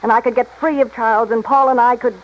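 An actress playing a cold, bitter femme fatale in a 1950s radio drama, dramatic and taunting. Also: female voice, fairly high pitch, steady tone, quick pace, natural voice. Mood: bitter, wistful. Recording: muffled old radio recording, faint noise.

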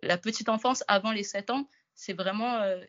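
A woman's voice: medium pitch, moderate pace, a bit bright, lo-fi sound. A woman in her twenties from France, a guest telling her story in a casual interview. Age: 20s.

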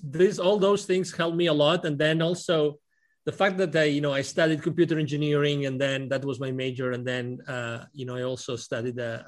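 A middle-aged man from Spain with a Spanish accent, answering interview questions in a hesitant, restarting way. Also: a little high. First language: Spanish and Catalan. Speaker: guest.

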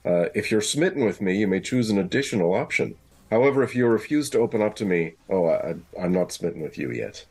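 A man's voice: fairly low pitch, lively intonation, a little fast, a little mellow, slightly rough. A man playing a tabletop roleplaying game, chatting casually and improvising as he goes. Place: remote online call.

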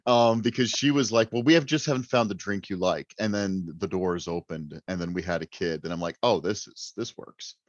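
Low-voiced wry man, dry muffled sound. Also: moderate pace, few pauses, dry recording. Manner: wry, joking. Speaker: writer, podcast guest. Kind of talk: casual chat.

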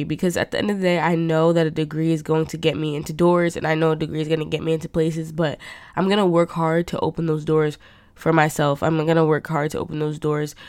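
Young woman host, low-pitched, nasal and congested from a cold, casual rambling chat, dry close sound. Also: no room sound. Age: young adult. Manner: rambling, chatty, lots of fillers. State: stuffed up from a cold. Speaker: podcast host. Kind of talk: casual monologue.

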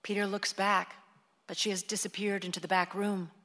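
An actress as the composed narrator of a radio play, reading scripted stage directions. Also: female voice, medium pitch, level tone, normal speed, very noisy, close to an everyday voice. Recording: long hall reverb.

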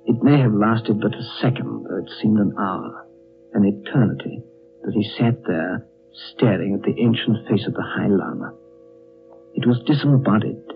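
An actor playing a reflective gentleman who recounts a strange tale in a deliberate, dramatic 1930s radio style. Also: fairly low pitch, gravelly. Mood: haunted, reflective. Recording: narrow-band 1930s radio recording, faint music.

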